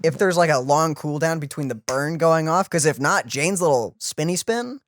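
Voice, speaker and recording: Young gamer co-host just chatting, lively up and down pitch, dry sound. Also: normal speed, no room sound. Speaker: reaction video co-host. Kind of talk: casual chat.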